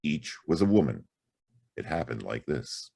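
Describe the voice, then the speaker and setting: Low-voiced, wry hard-boiled detective. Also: male voice, very animated, a little slow, gravelly, mellow, slightly rough, no echo, full character voice. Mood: grave, wry. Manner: wry, dry, slangy. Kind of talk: hard-boiled radio drama acting with narration.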